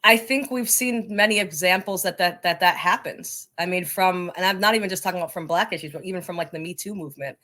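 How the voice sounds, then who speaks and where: A woman's voice: fairly low pitch, fast, hardly any pauses, pushed and bright. A woman speaking as an interview guest, explaining things earnestly and conversationally. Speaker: interview guest from a coaches association.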